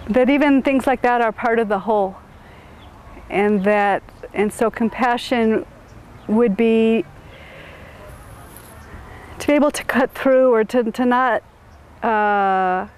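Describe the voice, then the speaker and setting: A middle-aged woman from suburban Chicago, answering interview questions in a thoughtful, halting way with many restarts. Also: on the high side, a little mellow, slight background noise. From suburban Chicago, USA.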